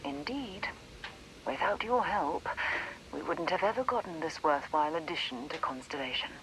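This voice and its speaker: A woman's voice: sits low, animated, normal speed, fairly bright tone, slight background noise, low-quality recording. A woman playing a formal, composed space explorer in a video game. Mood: composed, approving. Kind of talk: video game character dialogue.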